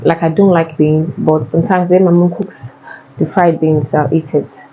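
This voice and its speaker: A woman's voice: deep voice, level tone, moderate pace, mellow, dull audio, a little hiss or hum. A young Nigerian woman with a Nigerian accent, answering viewer questions in a casual, chatty way and often repeating herself. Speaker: vlogger.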